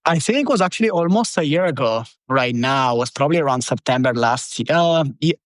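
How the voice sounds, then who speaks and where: A man's voice: medium pitch, animated, fairly quick, no room sound, clean studio sound. A man in his thirties from Italy with an Italian accent, chatting as a podcast guest, loose and rambling with lots of fillers. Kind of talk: casual interview chat.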